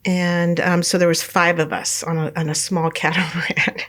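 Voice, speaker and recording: Give me a middle-aged woman with a fairly low voice, chatting loosely about her past with lots of fillers and laughter. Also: flat delivery, moderate pace, fairly bright tone. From United States, California. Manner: rambling, many fillers and restarts, laughs often. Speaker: interview guest. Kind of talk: casual life-story interview.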